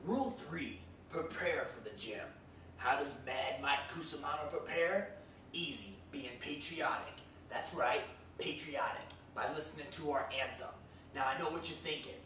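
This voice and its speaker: A man's voice: on the high side, moderate pace, pushed and bright, a little hiss or hum. A young man in his twenties hosting a comedy sketch, giving mock gym advice with cocky meathead bravado. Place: small indoor room against a bare wall. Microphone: far camera. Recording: echoey room from far off.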